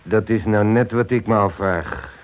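A male actor plays a commanding police inspector in an old radio play. He is curt, dry and sceptical, with a low voice and a muffled recorded sound. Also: normal speed, close to an everyday voice. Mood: brisk. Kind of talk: radio drama acting.

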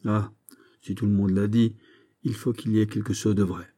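A man playing a gruff, middle-aged musketeer captain in an audiobook, blustering and mocking, with fatherly sarcasm. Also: on the low side, flat delivery, normal speed, warm tone, lightly acted. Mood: teasing scepticism. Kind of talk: dramatised audiobook of a historical novel.

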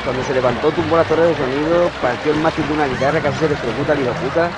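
An actor playing a crude, rowdy drummer recounting a chaotic tour in a mockumentary radio drama. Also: male voice, on the high side, racing, heavy background noise, natural voice. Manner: brash, repetitive, swears, calls listener brother. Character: fast-talking rowdy male drummer, crude.

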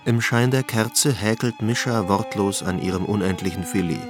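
A man narrating a German radio drama in a measured, deliberate, sombre literary style. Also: sits low.

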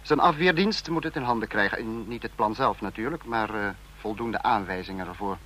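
An actor playing a cold, authoritarian state leader in an old radio play, speaking in a clipped, lecturing, imperious manner. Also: male voice, fairly low pitch, moderate pace, fairly bright tone, slight background noise, natural voice. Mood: stern, businesslike. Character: cold authoritarian male supreme leader of a fictional police state.

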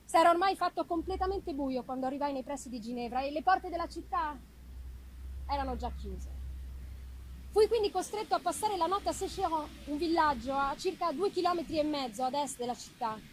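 A woman giving a dramatic, deliberate and expressive literary reading of a gothic novel. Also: high-pitched, a little hiss or hum, low-quality recording. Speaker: reader of a literary audiobook.

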